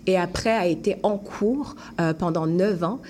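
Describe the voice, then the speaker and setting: Guest actress, young, non-Québécois French accent, a bit low-pitched, reflective and halting. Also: female voice, darkish tone, a little hiss or hum. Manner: thoughtful, hesitant, many restarts and fillers. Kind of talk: casual interview chat.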